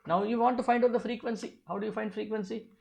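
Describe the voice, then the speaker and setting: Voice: mid-range voice, steady tone, quick pace, creaky, a little mellow. Physics tutor with a middle-register voice; didactic, methodical exam walkthrough, poses questions then answers them, restates key terms. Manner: repeats key phrases. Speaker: physics teacher. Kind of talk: step-by-step exam problem walkthrough.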